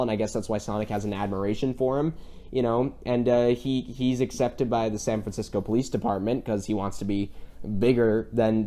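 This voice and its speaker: A man hosting a casual review discussion, talking in a rambling, halting way that circles back mid-sentence. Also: mid-range voice, mellow. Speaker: podcast host.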